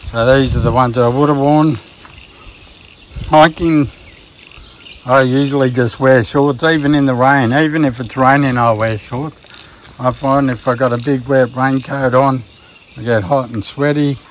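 Australian man, laid-back vlogger, mid-pitched, rambling um-filled delivery, faint outdoor noise behind. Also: a little slow. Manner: relaxed, rambling, many ums and restarts. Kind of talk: casual hiking gear walkthrough. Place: outdoors at a campsite by a river.

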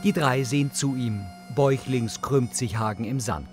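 A man's voice: mid-range voice, moderate pace, faint music behind. A man reading scripted audio description in a neutral, matter-of-fact way.

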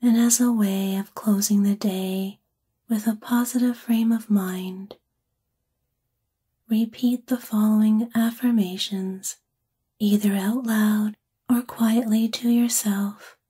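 A woman with a soft, breathy, whispery voice gently and soothingly guides a sleep meditation in an ASMR-like style. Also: medium pitch, fairly even intonation. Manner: gentle, soothing, unhurried phrasing.

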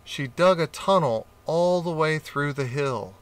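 A man reading a children's animal story aloud in a slow, gentle, expressive way. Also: a little high. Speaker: audiobook reader.

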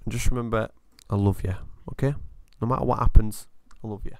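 A Yorkshireman from Hull in his thirties, bantering loosely and swearing as he plays. His voice has a gravelly, fried edge, picked up close and dry on a headset. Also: fairly low pitch, steady tone, brisk, a little mellow, dry recording. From England. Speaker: streamer. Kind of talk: casual gaming chat.

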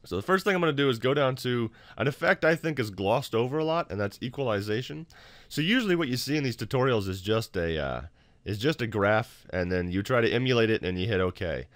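A man hosting a software tutorial in an informal, self-deprecating, explanatory way, recorded in a home office. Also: medium pitch, animated, fairly quick, no room sound. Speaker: tutorial host.

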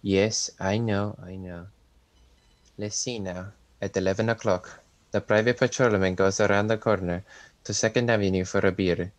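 A man's voice: fairly low pitch, fairly even intonation, moderate pace, no echo, close to an everyday voice, rough recording. A man playing a cold-blooded hired killer in a radio thriller, terse and casually businesslike. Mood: businesslike, callous. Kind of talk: old-time radio thriller acting.

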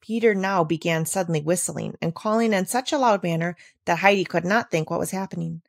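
A woman reading a classic children's novel aloud, with measured, expressive delivery. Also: medium pitch, gravelly, no echo, studio quality.

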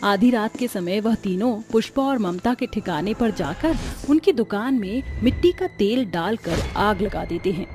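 A woman's voice: mid-range voice, expressive, a little slow, few pauses, darkish tone, soft background music. A woman narrating a scripted story as its storyteller.

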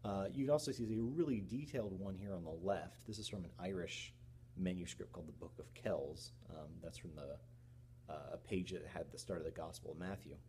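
Low-voiced man lecturing over background hum. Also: a little fast, mellow. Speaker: history teacher. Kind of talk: recorded slide lecture with informal explanation. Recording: clear background noise with hum.

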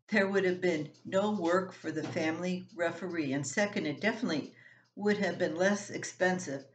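A woman's voice: fairly low pitch, a little hiss or hum. A woman in her seventies reading her own personal story aloud in a plain-spoken way at home. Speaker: columnist reading own work. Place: small room at home, indoors. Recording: roomy home echo.

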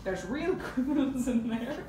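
A woman's voice: fairly high pitch, lively intonation, measured pace, mellow. A young woman playing a cheeky, unrepentant thieving cook, confessing colloquially in a murder mystery game. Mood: cheeky, defiant. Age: young adult. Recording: echoey room, distant camera, background noise.

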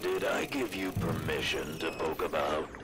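A gruff governor guarding his mining colony in a video game. The voice is deep, with a wry, grudging delivery. Music plays loudly behind him. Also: expressive, moderate pace. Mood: irritated. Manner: sardonic, grudging.

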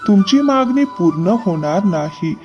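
A man's voice: sits high, expressive, unhurried. A man playing a stern government official in a cartoon moral story. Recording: music behind the voice.

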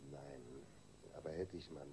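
An actor playing a guilt-ridden, weak-willed husband in a radio crime drama, halting and defensive. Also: male voice, very deep voice, fairly even intonation, dark tone, a little hiss or hum. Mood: despondent, muted.

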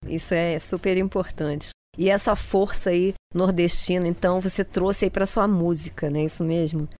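A woman's voice: on the low side, steady tone, normal speed, a little mellow. A woman hosting a radio podcast interview, friendly and affirming, asking leading questions.

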